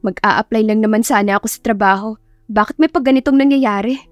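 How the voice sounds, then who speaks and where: Woman as a high-voiced pouty young woman. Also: brisk, no echo, soft background music, close to an everyday voice. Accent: Filipino. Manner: pouty, plain-spoken. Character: flustered, pouty young woman job applicant, twenties.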